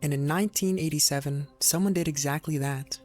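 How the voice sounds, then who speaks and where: A man with a slightly high voice delivers scripted documentary narration. He explains in a measured way, setting off short phrases for dramatic weight. Music plays softly behind him. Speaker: video narrator. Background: background music.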